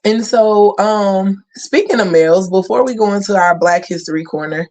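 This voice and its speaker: A man's voice: sits high, normal speed, a little mellow. A man hosting a community radio talk show, chatting in a conversational, affirming way. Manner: conversational, affirming, lots of "you know". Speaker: host.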